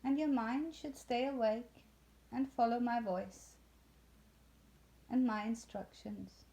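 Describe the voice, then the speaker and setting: Female meditation guide, very slow and soothing, soft-toned and mellow, leaving long pauses. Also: a little high, fairly even intonation, vocal fry, low-quality recording. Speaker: yoga nidra instructor.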